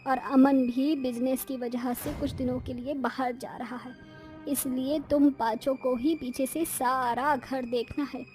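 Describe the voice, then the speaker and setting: A woman's voice: high voice, fairly even intonation, measured pace, a little mellow, faint music behind. A woman playing an authoritative mother-in-law giving instructions in a family moral story. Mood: instructing. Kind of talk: acted Hindi moral story dialogue.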